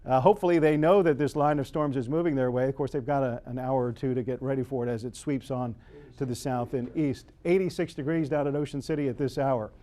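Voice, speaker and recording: A man's voice: mid-range voice, fairly even intonation, quick pace, dark tone. An American man working as a TV weather forecaster, ad-libbing live storm coverage in an explanatory way. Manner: explanatory, unscripted.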